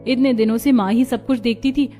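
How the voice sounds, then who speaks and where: A woman voicing a poor, gentle young college girl in a cartoon story, earnest and plaintive. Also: fairly high pitch, fairly even intonation, brisk, soft background music, lightly acted. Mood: troubled, sad. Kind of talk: Hindi cartoon moral story acting.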